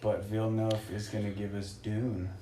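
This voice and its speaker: Man, lowish pitch, casual film-fan chat, podcast host, echoey slightly noisy recording. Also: level tone, normal speed, warm tone. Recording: echoey room, slightly noisy, sounds distant.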